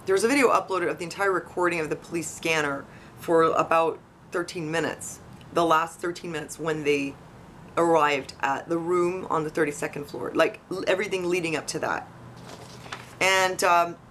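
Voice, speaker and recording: A woman's voice: low-pitched, a bit bright. A woman giving informal investigative commentary to online viewers in a conversational, questioning way. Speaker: online video host. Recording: noisy, buzzing tree-cutting outside. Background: buzzing from tree cutting outdoors.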